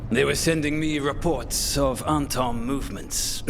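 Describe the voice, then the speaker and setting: An actor playing a grave, ailing, composed guildmaster of assassins in a video game, with a measured, formal delivery. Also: male voice, mid-range voice, fairly even intonation, gravelly, background noise. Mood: somber.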